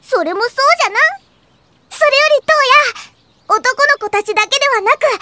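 Very high-pitched little princess, quaintly spoken. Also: female voice, expressive, fast talker, sharp tone, dry recording, full character voice. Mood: eager. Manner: archaic, haughty-cute speech endings. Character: young princess girl with quaint old-fashioned speech, proud, eager. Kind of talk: anime audio drama acting.

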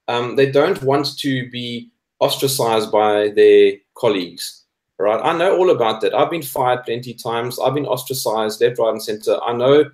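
A South African man in his thirties giving opinions in a debate chat, emphatic and repeating phrases for stress. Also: mid-range voice. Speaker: guest, cartoonist. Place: indoor room, video call. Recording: echoey room over video call.

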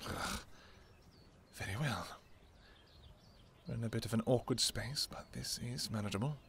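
A man's voice: medium pitch, normal speed, creaky, a bit bright, a little hiss or hum. A man playing a devoted royal vampire king in a romantic fantasy roleplay, speaking formally and intimately to his lover. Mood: wry, exasperated. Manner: formal, archaic, intimate phrasing.

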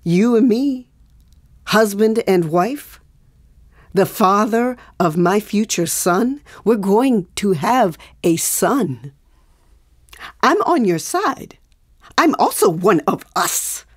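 An anxious pregnant wife in an audio play, pleading and defensive with her husband. Her mid-pitched voice is urgent and emotional, recorded close and dry. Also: lively intonation, slow, no echo. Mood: earnest, pleading. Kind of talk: naturalistic audio drama acting.

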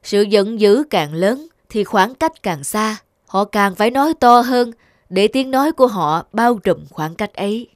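A woman voicing a large, patient god of love in a cartoon, a wise mentor who instructs in measured words. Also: medium pitch, animated, dry recording, studio quality, natural voice. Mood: solemn explaining. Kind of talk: cartoon dubbing.

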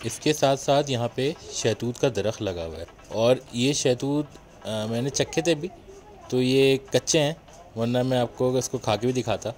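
Chatty man guiding viewers outdoors. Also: medium pitch. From Pakistan. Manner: chatty guide talking to viewers while walking. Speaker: travel vlogger. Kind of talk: travel vlog narration. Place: outdoors, on a bus and by a roadside cafe. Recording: noisy outdoor phone recording. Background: traffic and outdoor noise.

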